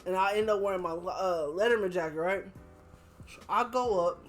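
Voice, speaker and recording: A young man chatting casually as a podcast host, telling anecdotes in a slangy, animated way. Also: high voice, normal speed, faint music behind. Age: young adult. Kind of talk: casual chat, storytelling.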